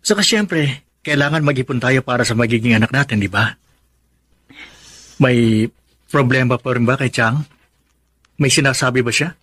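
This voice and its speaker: A man's voice: mid-range voice, normal speed, pauses often, a bit bright, breathy edge, no room sound. A man playing an affectionate young husband in a Filipino radio drama, teasing and coaxing yet firm about family. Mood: puzzled, reasoning.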